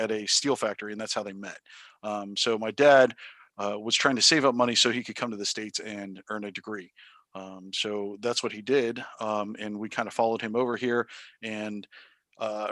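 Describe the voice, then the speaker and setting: Soft-spoken teacher with a dry sound. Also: male voice, on the low side, no echo. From Taiwan. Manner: thoughtful, many ums and uhs, restarts. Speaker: high school math teacher, interview guest. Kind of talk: casual interview answers.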